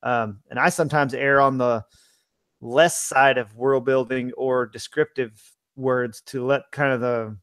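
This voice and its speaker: A man co-hosting a podcast as an author, talking casually in halting, measured phrases. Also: medium pitch, steady tone, a bit bright, clear, resonant tone, no room sound. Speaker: podcast co-host and fantasy author.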